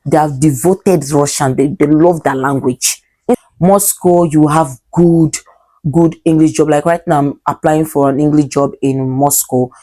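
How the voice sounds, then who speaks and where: Chatty young Nigerian woman, low voice. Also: fairly even intonation, measured pace, warm tone, low-quality recording. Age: 20s. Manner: chatty, rambling, with frequent fillers and self-corrections. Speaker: vlogger and English teacher. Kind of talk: casual vlog talk to camera.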